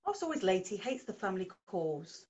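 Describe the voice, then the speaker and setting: A woman's voice: mid-range voice, very animated, a little mellow, recorded from a distance, muffled recording, lightly acted. A woman acting a needy, defensive sister who is keen to make up, in a naturalistic drama. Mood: indignant. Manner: pleading, defensive, quick to retort. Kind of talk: naturalistic stage drama dialogue.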